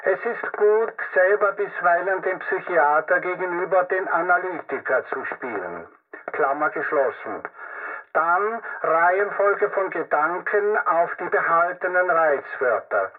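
High, tinny, wry male patient. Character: wry male psychiatric patient observing his doctor. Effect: radio-like narrow-band filter. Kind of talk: dramatic reading of patient's notes. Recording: tinny, narrow phone-like band.

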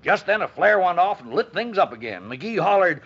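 Jovial army captain, husky, high-pitched, animated storyteller, old radio comedy, muffled recording. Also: male voice, moderate pace, exaggerated voice. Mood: excited storytelling. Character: jovial army captain, eager storyteller, fond of his comrade. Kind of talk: 1950s radio comedy acting.

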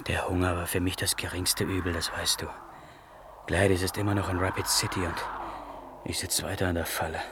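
An actor playing a sceptical, impatient young Dakota grandson with a husky voice in a German radio play. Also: male voice, low voice, level tone, brisk, background noise. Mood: weary, resolved.

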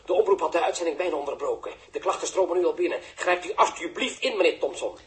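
An actor playing a fussy, agitated broadcasting official in a 1960s Dutch radio drama. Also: male voice, fairly high pitch, expressive, fast, close to an everyday voice.

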